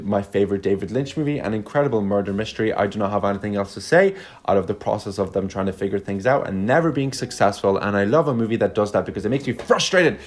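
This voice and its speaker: A young man from Lithuania, a native Russian speaker, talking casually and effusively as an online reviewer, with emphatic swearing. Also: on the low side. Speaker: YouTube film reviewer. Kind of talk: casual video chat.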